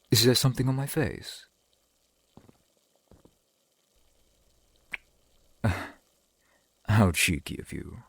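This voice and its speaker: A man playing a slow, husky, courtly vampire boyfriend. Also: lowish voice, no room sound, heavily acted. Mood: fond, gently teasing. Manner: formal, archaic, gently attentive phrasing. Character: courtly, doting centuries-old vampire boyfriend. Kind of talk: ASMR boyfriend roleplay.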